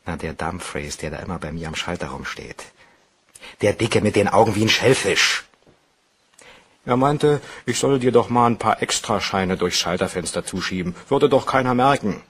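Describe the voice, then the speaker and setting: An actor playing an anxious, irritable bank cashier with a guilty secret in a German crime radio play, nervy and brooding. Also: male voice, deep voice, normal speed, husky. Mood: worried, bitter.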